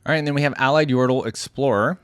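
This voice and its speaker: A woman's voice: very deep voice, fast talker, no echo, studio quality, faint music behind. A woman giving easygoing, chatty, amused commentary as host of a video game reaction. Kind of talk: casual commentary on game voice lines.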